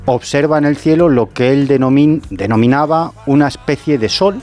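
A man's voice: mid-range voice, moderate pace, mellow, soft background music. A man from Galicia, a radio contributor, recounting a case in vivid detail. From Galicia, Spain. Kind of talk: telling a paranormal case on radio.

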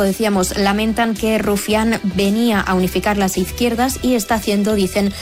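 Lady reading radio news, steady, soft music behind. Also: medium pitch. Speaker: newsreader.

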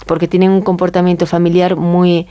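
A woman's voice: fairly low pitch, little pitch movement, a little fast, warm tone. A woman with a Spanish accent from Spain, explaining informally as an expert interview guest, often checking in with little tag questions. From Galicia, Spain. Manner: conversational, often seeks agreement with tag questions.

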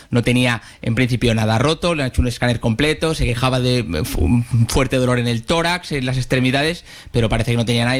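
A man giving a conversational live race report as a motorsport reporter. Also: medium pitch.

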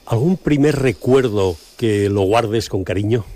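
A man's voice: fairly low pitch, a little slow, warm tone. A man hosting a radio show, reading a scripted intro and then chatting and joking with his guest. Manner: smooth, teasing, jokey with guest. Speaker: radio host. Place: radio studio. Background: faint music.

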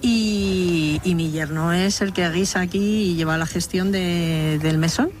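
A woman's voice: fairly low pitch, unhurried, a little hiss or hum. A woman in her fifties with a Madrid accent, telling her story with humor in an outdoor radio interview. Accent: Madrid Spanish. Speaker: interviewee, biology teacher. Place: village square outdoors.

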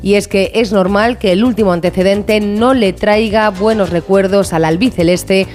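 A woman's voice: mid-range voice, steady tone, normal speed, a little mellow, soft background music. Woman reporting news voice-over. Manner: crisp, scripted delivery.

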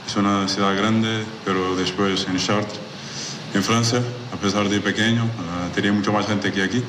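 Echoey recording of a low-voiced Portuguese sportsman. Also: steady tone, moderate pace. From Lisbon, Portugal. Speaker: athlete, team player. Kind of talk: unscripted interview answers in a second language. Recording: echoey reverberant hall, clear background noise.

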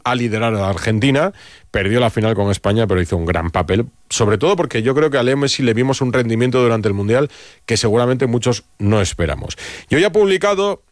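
A man's voice: medium pitch, dry recording. Man, Spanish accent, radio sports host, emphatic, restates his points, dry studio sound. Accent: Spanish (Spain).

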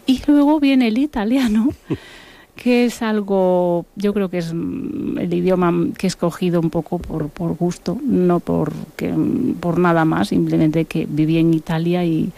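A woman from Buenos Aires, a musician and author, talking in a relaxed interview and circling back with repeated words as she thinks. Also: lowish voice, measured pace, gravelly, poor audio. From Argentina (Buenos Aires).

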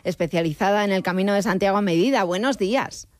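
A woman's voice: mid-range voice, fast, no echo. A woman hosting a radio travel program, introducing guests and asking friendly questions. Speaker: radio host. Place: studio.

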